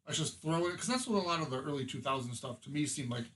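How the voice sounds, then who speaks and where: A man's voice: on the high side, expressive, fast talker, fairly bright tone. A man co-hosting a podcast, talking in casual, conversational style. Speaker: podcast co-host.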